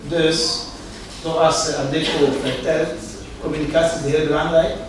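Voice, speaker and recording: A man presents his foundation in careful second-language Dutch, slipping English words in and halting between phrases. He sounds far from the mic, in a very echoey hall. Clear background noise runs beneath him. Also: on the high side, little pitch movement. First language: not Dutch. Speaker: foundation representative. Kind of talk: short speech to an audience.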